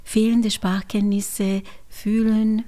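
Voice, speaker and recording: A Hungarian-born woman, a German teacher, talking as a guest in a thoughtful, measured way with pauses and fillers. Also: medium pitch, level tone, dark tone. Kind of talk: radio conversation.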